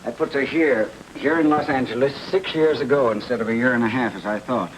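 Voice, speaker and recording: Actor as a fast-talking, insistent noir client. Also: male voice, mid-range voice, music in the background, natural voice, poor audio. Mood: insistent, urgent. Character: insistent, businesslike male client of a detective. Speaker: actor playing a detective's client. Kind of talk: 1940s film noir acting. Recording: old film soundtrack with faint noise.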